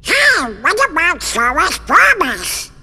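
An actor voicing a feisty, loyal cartoon duck in a video game, with a garbled quacking voice. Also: male voice, extremely high pitch, very animated, slow, exaggerated voice. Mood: questioning. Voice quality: quacking, garbled, buccal squawk.

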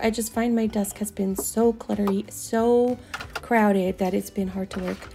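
A middle-aged woman narrates her own home video in a casual, rambling way, full of "um" and "you know". Her voice is dark and mellow with a gravelly edge. Soft music plays behind her. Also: mid-range voice. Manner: chatty, rambling with many fillers. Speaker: doll artist vlogger. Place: home craft room.

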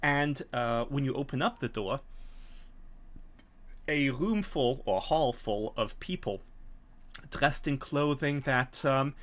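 Middle-aged male game master, mid-range voice, animated explanatory narration over a video call. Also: mid-range voice, slow, long pauses. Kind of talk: explanatory tabletop scenario narration. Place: small home room, on a video call.

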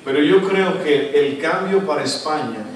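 A middle-aged Dominican man with a Dominican Spanish accent, preaching a sermon as guest pastor, animated and asking the congregation questions. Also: fairly high pitch, normal speed, far from the mic, a little hiss or hum. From Dominican Republic. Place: church stage, indoors, large hall. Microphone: handheld mic. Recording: echoey hall, recorded from afar.

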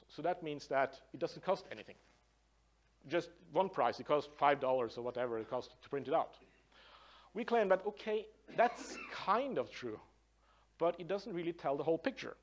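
A man's voice: a little high, lo-fi sound. A middle-aged man from Norway with a Norwegian accent, giving a loose, conversational conference presentation with many fillers and restarts, in a large conference room picked up from a distance. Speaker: conference speaker, design professor.

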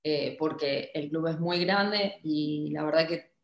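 Young woman, argentine accent, video call interview, lowish voice, roomy echo. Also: slow, lo-fi sound. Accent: Argentine Spanish. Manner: hesitant, many fillers and restarts. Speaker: club director, guest. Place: home office, indoors. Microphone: laptop webcam mic on a video call.

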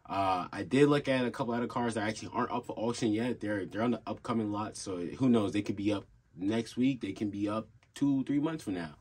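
A young man with a low, slightly husky voice talks straight to a desk camera in a small room at home. He rambles in a relaxed, easygoing way, with plenty of fillers and restarts, like he is catching up with his viewers. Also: brisk. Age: young adult. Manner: laid-back, rambling, many fillers and restarts. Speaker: car channel host. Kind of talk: casual vlog update.

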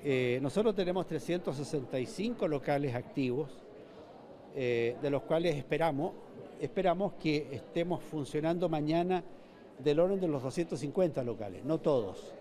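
Older Chilean man, halting and mellow. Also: a little high, animated. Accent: Chilean Spanish. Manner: halting, many restarts and repeated words. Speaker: mall manager. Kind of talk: news interview. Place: indoor shopping mall corridor. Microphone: reporter's handheld mic.

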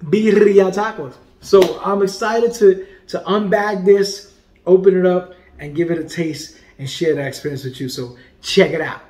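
Casting note: playful man in his forties hosting a home food vlog, slightly high voice, roomy sound. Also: moderate pace, a little hiss or hum. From Puerto Rican heritage. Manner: animated, playful, drops to quiet murmurs while tasting. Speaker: food vlogger and comedian host. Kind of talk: casual food review vlog. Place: home dining room indoors. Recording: echoey room.

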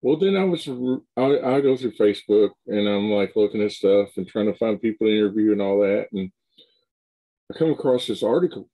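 A man's voice: fairly low pitch, animated, a little fast, narrow, tinny audio. A middle-aged man hosting an interview over a video call from a home room, talking loosely with many restarts. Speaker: show host. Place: home room with shelves of collectibles.

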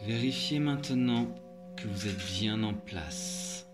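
Male meditation guide, very slow and calm delivery, loud singing-bowl music underneath. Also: lowish voice. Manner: calm, measured instructions with long pauses. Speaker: yoga nidra instructor. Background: meditative music, singing bowl tones.

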